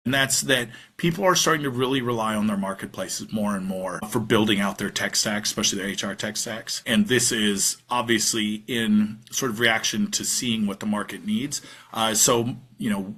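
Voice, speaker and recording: A man's voice: fairly low pitch, normal speed, bright, forceful tone. A man co-hosting a podcast in casual chat, talking conversationally with frequent "you know" asides. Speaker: podcast co-host.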